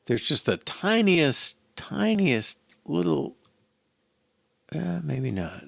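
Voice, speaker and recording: A man's voice: a little high, big pitch swings, slow, gravelly, no room sound. An older man thinking aloud as he programs, in a casual, hesitant mutter to himself. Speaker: programmer live-coding a mobile app. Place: small indoor room at a desk.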